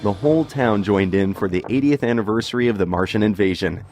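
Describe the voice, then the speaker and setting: A man giving scripted news-feature narration, even and smooth. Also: lowish voice, fairly quick, faint music behind.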